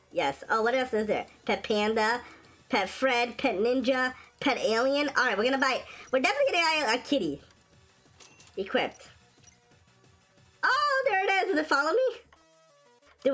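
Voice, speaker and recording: Excitable woman as gaming host, raspy sore throat, lively high voice, faint music bed. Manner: excitable, shrieks and laughs at wins and deaths. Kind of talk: casual gameplay commentary. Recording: faint game music behind.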